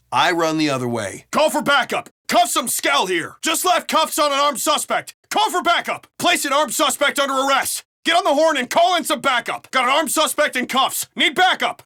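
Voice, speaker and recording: American video game cop, higher-pitched, sardonic, excitable, profane, very dry close sound. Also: male voice, dry recording, exaggerated voice. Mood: irritated, uneasy, then urgent alarm. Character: foul-mouthed, gruff, excitable American male city cop. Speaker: video game police officer. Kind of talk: game voice lines, banter and barks.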